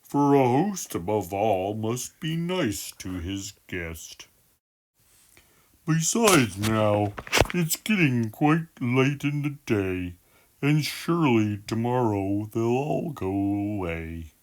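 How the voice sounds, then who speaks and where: A woman voicing a gentle, put-upon male moose in a storybook, polite and accommodating in manner. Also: a bass voice, expressive, takes it slowly, pitched below the performer's own voice, full character voice. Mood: weary, forgiving.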